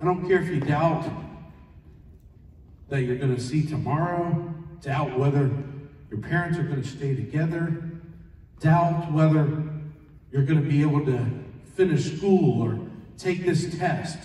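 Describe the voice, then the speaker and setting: A man's voice: a little high, a little slow, pauses often. Man preaching to kids in an echoey hall. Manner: animated, plain-spoken, rhetorical questions. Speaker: pastor leading a children's chapel. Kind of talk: sermon to fifth and sixth graders. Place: large school gymnasium, indoors. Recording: echoey gym, distant microphone.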